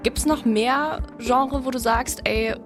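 A woman's voice: on the high side, takes it slowly, over music. A woman radio host casually interviewing a musician, chatty and using youthful slang.

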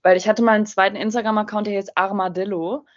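A woman's voice: mid-range voice, level tone, fast talker, muffled recording. A young woman guest musician speaking standard German in a bubbly, slangy, self-mocking way. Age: young adult. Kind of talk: casual interview chat.

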